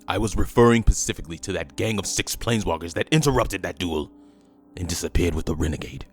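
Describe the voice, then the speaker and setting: A man playing an imperious, impatient, scheming official with a commanding, smooth-talking menace in an audio drama. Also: sits low, expressive, fast, faint music behind. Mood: impatient, irritated. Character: imperious, impatient, scheming male government schemer with metal arm.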